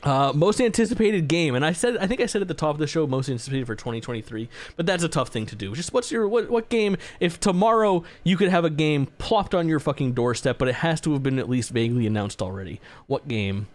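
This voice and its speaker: A man's voice: a little high, animated, quick pace, few pauses. A man in his twenties co-hosting a gaming podcast, chatting casually with plenty of swearing and easy laughter. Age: 20s. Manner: laid-back, swears often, laughs easily. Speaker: podcast co-host. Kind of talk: casual chat.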